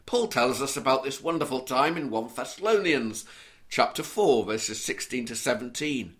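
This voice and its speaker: A man from Liverpool with a mild Scouse accent, preaching an earnest, measured sermon and reading scripture aloud. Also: medium pitch, expressive. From Liverpool, England.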